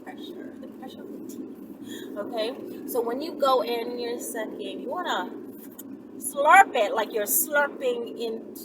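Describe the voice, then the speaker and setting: A woman's voice: fairly high pitch, lively intonation, measured pace. Casting: female vlog host, conversational and playful instructor; mic set back, heavy background noise. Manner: conversational, playful, checks in with tag questions. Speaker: channel host. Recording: very noisy, distant mic.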